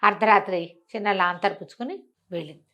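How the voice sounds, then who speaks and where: A woman narrates a folk tale for bedtime in an everyday, chatty style. Her voice sits at a middle pitch. She is expressive, often asking rhetorical questions and breaking into exclamations as she tells it. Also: fast talker, muffled recording. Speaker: storyteller. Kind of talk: oral bedtime storytelling, conversational.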